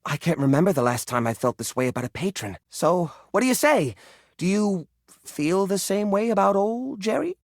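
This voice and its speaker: A nervous, sentimental hoarder of a living junk drawer for a video game. He is theatrical and easily flustered, stammering as his pitch swings widely. The sound is dry and close. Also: big pitch swings, moderate pace, dry recording. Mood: friendly, eager. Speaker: video game character voice actor. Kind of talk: animated video game character acting.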